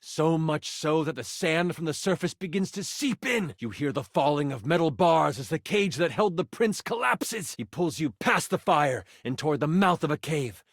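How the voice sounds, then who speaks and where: An actor playing an excitable, playful game master in a video game, narrating a fantasy adventure with theatrical suspense. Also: male voice, fairly high pitch, moderate pace, gravelly, hardly any pauses, dry recording, studio quality, full character voice. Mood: suspenseful, dramatic. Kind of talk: dramatic tabletop game narration.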